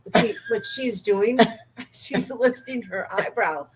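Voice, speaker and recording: A woman's voice: fairly high pitch, slow, husky, low-quality recording. Chatty, giggly makeup artist woman. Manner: chatty, giggly, gently bossy. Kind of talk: casual makeup demonstration.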